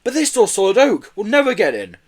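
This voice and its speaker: A man voicing an anxious, bossy, excitable young boy in a storybook, speaking urgently. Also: high voice, a little fast, pitched above the performer's own voice. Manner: urgent, exclamatory.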